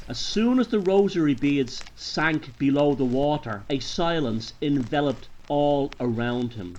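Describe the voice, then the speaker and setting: A man with a Dublin Irish accent narrating a horror tale in a deliberate, theatrical pub-yarn manner. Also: medium pitch, slight background noise, poor audio. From Ireland. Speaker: storyteller. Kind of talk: dramatic horror story narration.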